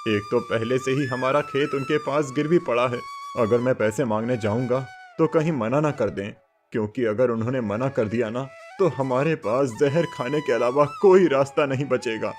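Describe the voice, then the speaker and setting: A man's voice: medium pitch, moderate pace, lightly acted. Man as a desperate, pleading poor farmer. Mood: anxious, resigned. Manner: earnest, pleading. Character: desperate poor farmer, a worried father, submissive. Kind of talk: Hindi moral cartoon story dubbing.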